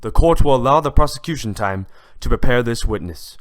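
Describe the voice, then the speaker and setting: A man's voice: mid-range voice, animated, fairly quick, lightly acted. A man playing a stern, formal authority figure in an amateur courtroom audio drama. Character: stern, formal male judge and gruff prosecutor.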